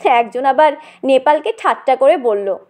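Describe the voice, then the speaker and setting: A woman narrating a comic story in an animated, dramatic and conversational way, as if speaking straight to the listener. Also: high-pitched, moderate pace.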